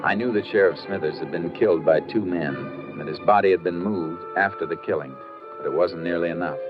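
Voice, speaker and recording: An actor playing a seasoned, plain-spoken Texas Ranger detective with an American accent in 1950s radio drama style. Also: male voice, sits low, a little fast, loud music behind the voice, natural voice. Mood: grave narration. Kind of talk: 1950s radio drama acting and first-person narration. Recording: muffled 1950 radio drama recording.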